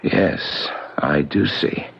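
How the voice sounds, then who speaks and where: An actor playing a businesslike producer's aide in a 1970s radio drama, direct and polite. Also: male voice, a bass voice, drawn-out words, creaky, slightly rough, exaggerated voice. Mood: gentle, probing. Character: brisk, businesslike male aide to a theatre producer. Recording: dull old 1970s radio broadcast.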